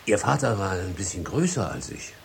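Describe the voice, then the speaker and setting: A man's voice: fairly low pitch, animated, moderate pace, gravelly, a little hiss or hum. An actor in a German radio drama playing a humble, earnest coachman who is devoted to his horses and speaks deferentially. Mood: reluctant, shy.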